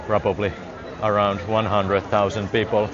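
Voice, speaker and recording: A man's voice: lowish voice, level tone, moderate pace, dull audio, a crowd in the background, background noise. A man with a Finnish accent chatting casually to his viewers on a live stream while walking outdoors through a city parade, chuckling often. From Finland. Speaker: livestream walking vlogger. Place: outdoors on a busy city street during a parade. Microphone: handheld phone.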